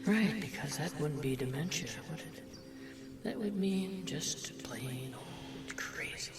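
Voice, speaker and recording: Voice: medium pitch, expressive, takes it slowly. An actor playing the mocking, disgusted inner voice of an old man, sardonic and needling, in an audio drama. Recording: roomy echo, music behind.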